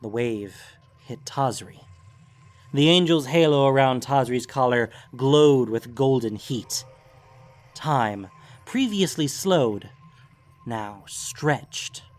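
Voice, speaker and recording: A man narrating scripted fantasy fiction in a grave, deliberate way with theatrical emphasis. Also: medium pitch, animated, soft background music.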